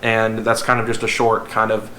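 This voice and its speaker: Slightly hoarse young man chatting casually. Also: fairly low pitch, completely flat delivery, normal speed, fairly bright tone. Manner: rambling, filler-heavy, conversational. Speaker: car vlogger. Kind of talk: casual talk to camera. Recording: echoey room with faint background noise.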